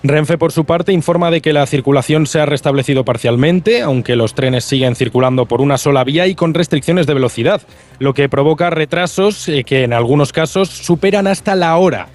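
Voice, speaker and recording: Higher-pitched Castilian Spanish male reporter. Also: fairly quick, darkish tone, faint music behind. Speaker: news reporter. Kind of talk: live news report.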